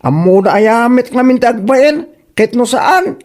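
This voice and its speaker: A man's voice: very high voice, animated, moderate pace, darkish tone. A man acting a teasing, sceptical village buddy in an Ilocano comedy drama, mocking and exclamatory.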